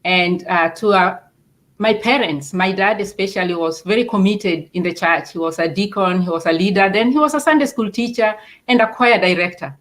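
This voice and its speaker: A woman from Kenya with a Kenyan accent, a guest author calmly telling her life story in an interview. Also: medium pitch, normal speed.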